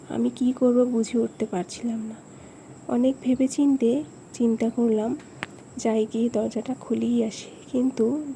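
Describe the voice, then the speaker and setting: A woman's voice: fairly high pitch, warm tone, a little hiss or hum, close to an everyday voice, low-quality recording. A woman playing a village grandmother who earnestly tells her grandchildren a spooky memory in a cartoon story.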